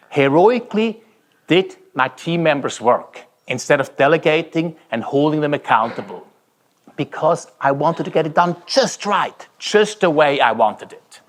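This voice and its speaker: A man from Switzerland with a Swiss-German accent, delivering a rehearsed motivational keynote in dramatic, emphatic short phrases. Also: a little high. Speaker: keynote speaker.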